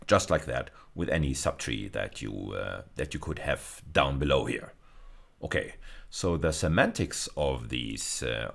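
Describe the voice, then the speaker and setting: Male lecturer, middle-aged, very low creaky voice, animated intonation, careful explanations with repeated key phrases. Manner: deliberate, repeats phrases for emphasis. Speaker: university lecturer.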